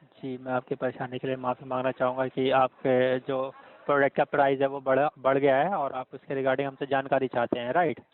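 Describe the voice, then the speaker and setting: A man's voice: mid-range voice, flat delivery, fairly quick, no echo. A man working as a customer care agent, answering with polite, formal, apologetic phrases over a recorded phone call. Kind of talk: scripted call-center politeness.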